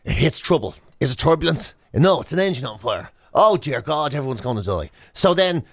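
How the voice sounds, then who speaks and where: A man's voice: mid-range voice, a little fast, no echo, clean studio sound. An Irish man co-hosting a comic breakfast radio show, telling stories and acting out imagined dialogue as he goes. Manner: animated storyteller, acts out imagined dialogue.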